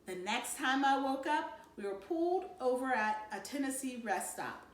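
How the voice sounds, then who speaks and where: A woman reading a children's novel aloud in an animated, dramatic storytelling manner. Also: high voice, moderate pace. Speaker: read-aloud narrator. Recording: roomy, distant mic, faint noise.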